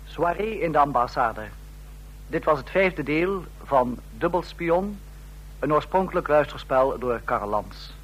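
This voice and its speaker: A man's voice: a little high, animated, measured pace, a bit bright, husky, rough recording. A man formally announcing the credits of a radio drama.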